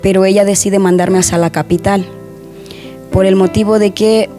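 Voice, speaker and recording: A young woman, a guest softly and hesitantly sharing a personal testimony in an interview. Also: medium pitch, little pitch movement, normal speed, soft background music. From Honduras.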